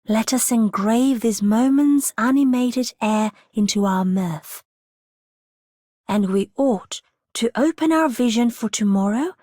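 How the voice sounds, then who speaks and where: Slow, grandiose festive game heroine. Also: female voice, fairly high pitch, darkish tone, no echo, studio quality. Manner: theatrical, florid, unhurried. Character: grandiose, festive female reveler in an anime game. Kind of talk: scripted game character lines.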